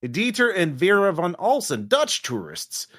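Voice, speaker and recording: A man playing a folksy, officious Arizona police major with an exaggerated cowboy drawl, halting and politely contemptuous, in a tabletop game. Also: sits high, very animated, a bit bright, pitched above the performer's own voice, exaggerated voice. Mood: weary, businesslike. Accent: exaggerated American cowboy drawl.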